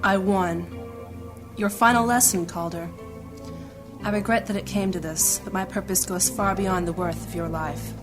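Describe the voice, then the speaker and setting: A woman's voice: mid-range voice, steady tone, moderate pace, lightly acted. A woman playing a cold, resolute mage and ruthless teacher in a fantasy audio drama, poised and condescending. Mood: cold triumph. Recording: music under the voice. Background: music.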